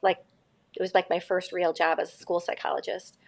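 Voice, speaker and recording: A woman's voice: fairly low pitch, little pitch movement, a little fast, gravelly. A woman in her fifties from Connecticut, a school psychologist chatting as a podcast guest, rambling and correcting herself as she goes. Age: 50s. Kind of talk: casual podcast interview.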